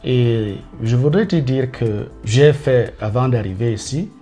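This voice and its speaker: A man from Cameroon, a teacher answering interview questions in a careful, measured way with frequent hesitations. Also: medium pitch, warm tone, soft background music. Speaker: teacher guest.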